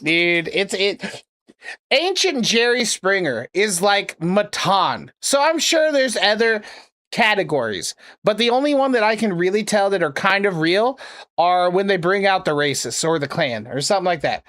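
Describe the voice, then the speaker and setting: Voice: medium pitch, sing-song, normal speed, a bit bright. A prank caller and streamer chatting casually, with a sarcastic, crude, rambling way of talking. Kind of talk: casual livestream chat and prank calling.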